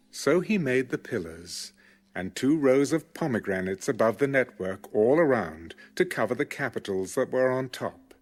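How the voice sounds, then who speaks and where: A male narrator reads scripture in a measured, solemn way. His pitch moves in a lively range, and faint music plays behind him. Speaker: Bible narrator.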